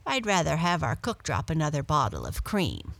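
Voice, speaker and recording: A curious, chatty house cat, as a woman reads her aloud in a storybook, her voice pitched well up and lively as it leaps between notes. She asks eager, excited questions. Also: moderate pace, vocal fry, heavily acted.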